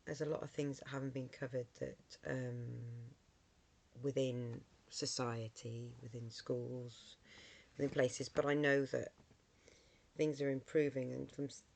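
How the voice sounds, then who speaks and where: A middle-aged vlogger with a hoarse voice from a cold, talking casually to camera with many ums and restarts. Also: medium pitch, flat delivery, a little slow, darkish tone.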